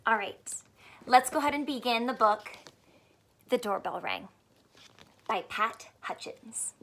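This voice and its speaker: A young woman teacher reading aloud to small children and asking them simple questions in an animated way. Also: a little high, unhurried, slight background noise. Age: young adult. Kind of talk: children's read-aloud with a math lesson. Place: small furnished room indoors.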